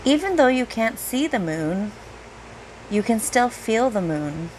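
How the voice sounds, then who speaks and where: Slow-paced woman guiding a meditation. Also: fairly high pitch, big pitch swings, a little hiss or hum, poor audio. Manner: gentle, unhurried, with long pauses. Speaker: meditation guide, reiki teacher. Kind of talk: guided meditation, slow soothing narration.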